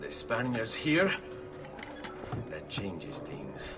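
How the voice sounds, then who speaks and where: A man's voice: lowish voice, big pitch swings, very slow, heavily acted. An actor with an Italian accent playing a brooding, determined nobleman assassin of about thirty in a video game. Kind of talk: video game cutscene acting. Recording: music behind the voice.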